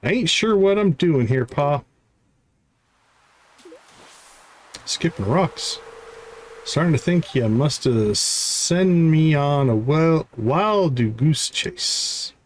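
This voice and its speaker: A man's voice: fairly high pitch, expressive, slow, slight background noise. A man voicing a guarded sailor's son from a video game, talking in folksy, ungrammatical seafaring speech. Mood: wry, impatient. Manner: folksy, dropped-grammar sailor talk. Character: gruff, guarded sailor son grieving his father. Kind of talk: reading video game dialogue aloud.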